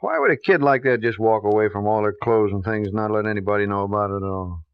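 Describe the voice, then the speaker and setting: A man's voice: fairly low pitch, slight background noise, close to an everyday voice. Actor as a hesitant regular guy, muffled radio. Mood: earnest, uneasy. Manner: colloquial, hesitant, halting with repeats. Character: ordinary guy, friendly but uneasy, questioned about missing woman. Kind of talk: 1950s radio drama acting. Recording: muffled old radio recording.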